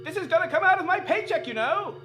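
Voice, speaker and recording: A petty vampire husband, acted by a man, grandiose and threatening in a high, animated voice. He is fussy and whiny, in radio-melodrama style with music behind. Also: fast, exaggerated voice. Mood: petulant. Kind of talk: old-time radio melodrama.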